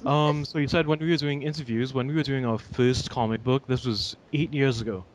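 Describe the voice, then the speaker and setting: A man's voice: mid-range voice, fairly even intonation, brisk, a little hiss or hum, poor audio. A man from Trinidad, a comic book artist guest, joking and telling stories in casual chat. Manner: joking, storytelling.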